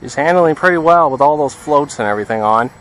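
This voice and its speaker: A man's voice: a little high, lively intonation, fast, fairly bright tone, poor audio. A man giving casual running commentary outdoors as he pilots a radio-controlled plane, talking to himself in short remarks. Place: outdoors by water.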